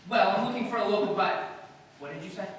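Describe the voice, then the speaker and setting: A man's voice: sits high, fast talker, natural voice. A man playing a cynical, tough-talking writer in an old-time radio comedy, with a dry, sardonic delivery. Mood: bemused. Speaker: actor in a live radio play. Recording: very echoey hall, distant mic, faint hiss.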